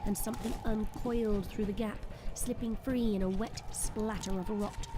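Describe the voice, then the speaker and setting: A woman giving dramatic scripted story narration in a measured, suspenseful manner. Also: medium pitch, fairly even intonation, noisy background.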